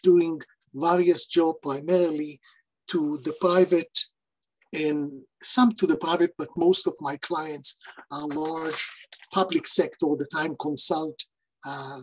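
An older man with an Israeli accent, a guest speaker on a video call, telling his career in halting English and searching for words. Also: on the high side, slightly rough, poor audio. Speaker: guest speaker, architecture professor. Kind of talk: personal talk on a panel. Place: home office with shelves. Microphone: video call webcam.

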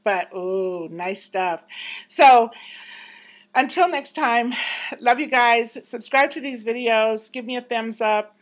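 A woman's voice: a little high, slow pace. A woman in her fifties talking casually and reflectively to her viewers from her apartment, close to a handheld camera. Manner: chatty, reflective, laughs often. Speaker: vlogger. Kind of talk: casual personal vlog. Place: apartment room indoors.

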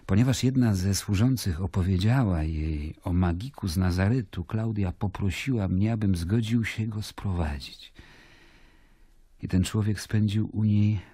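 Weary roman governor in a radio drama, low dark husky voice, measured and troubled. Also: male voice, dry recording, studio quality. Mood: troubled, reflective. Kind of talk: dramatic first-person narration in a radio play.

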